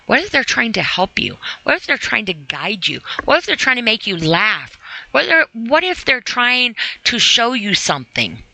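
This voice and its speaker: Female self-help podcast host, bright forward voice, close dry sound, earnest and informal. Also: a little high, big pitch swings, normal speed, vocal fry, no room sound, poor audio. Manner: conversational, earnest, rhetorical questions to the listener. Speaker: podcast host reading and commenting on a sobriety e-book. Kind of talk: reading aloud with informal motivational commentary.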